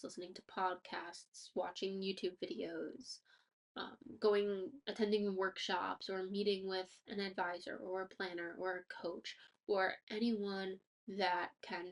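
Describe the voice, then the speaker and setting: A woman hosting a personal finance podcast, sounding a bit stuffy and nasal from allergies, upbeat and motivational with casual fillers. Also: medium pitch. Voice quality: slightly nasal. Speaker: podcast host. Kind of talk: scripted podcast monologue.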